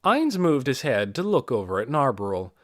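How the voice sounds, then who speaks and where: Guy narrating a fantasy audiobook, super expressive intonation, dry clean room. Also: mid-range voice, normal speed, no room sound.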